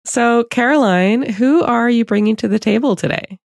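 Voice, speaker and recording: A woman's voice: fairly high pitch, moderate pace, vocal fry, dry recording, studio quality. A woman hosting a podcast, interviewing a guest casually. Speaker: podcast host.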